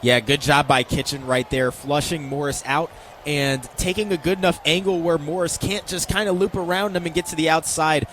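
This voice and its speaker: A man's voice: a little high, steady tone, moderate pace, a bit bright, a little hiss or hum. An American man giving conversational, explanatory color commentary on a live football radio broadcast.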